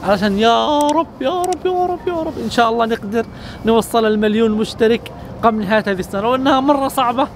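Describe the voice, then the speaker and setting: A man hosts street interviews outdoors in a city. He speaks at a rapid clip in a high register, with faint traffic and street sounds around him. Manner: rapid energetic intro, slower careful English questions. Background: traffic and street ambience.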